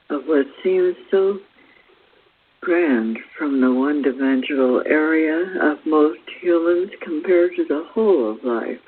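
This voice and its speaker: A woman hosting and reading a spiritual book aloud in a deliberate, halting way, stumbling here and there. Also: low-pitched, slight background noise. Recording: thin phone-like radio audio.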